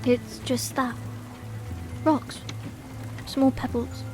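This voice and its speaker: A woman playing a small, watchful, uneasy captive in an audiobook, speaking in a hushed, hesitant, wondering way. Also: high voice, steady tone, extremely slow, over music. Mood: puzzled, curious. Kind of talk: acted audiobook dialogue.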